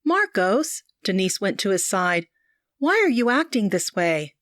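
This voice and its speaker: A woman voicing an anxious, warm young woman who speaks high and eager. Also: big pitch swings, a little slow, dry recording, studio quality.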